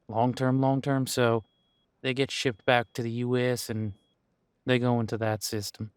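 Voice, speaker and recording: A man playing an easygoing veteran police officer in an audio roleplay, chatting casually and candidly with thoughtful pauses. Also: mid-range voice, clear, resonant tone, no echo, clean studio sound, natural voice. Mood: candid, matter-of-fact. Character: easygoing veteran male supernatural police officer showing newcomer around. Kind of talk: audio roleplay acting, one-sided conversation.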